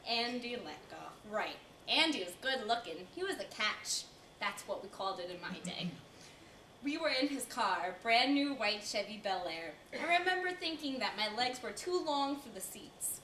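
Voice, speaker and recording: Young woman acting old lady, reluctant, flustered, theatrical monologue, lively swooping pitch, echoey distant recording. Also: moderate pace. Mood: wistful. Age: young adult. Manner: flustered, confiding, dramatic stops and starts. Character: reluctant, embarrassed old American lady reminiscing about her girlhood. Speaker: student stage performer. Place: indoor college performance before audience. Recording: echoey room, distant mic, faint hiss.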